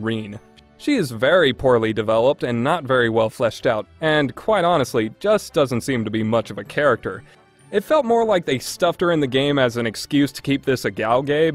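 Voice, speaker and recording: A man delivering a scripted review narration in an opinionated, emphatic way. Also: a little high. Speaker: reviewer, list host.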